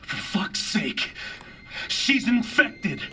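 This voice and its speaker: Tense, urgent military rescue operative. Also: male voice, high-pitched, big pitch swings, extremely slow, bright, forceful tone, far from the mic, slight background noise, full character voice. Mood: desperate, pleading. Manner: urgent, pleading. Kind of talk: video game cutscene acting.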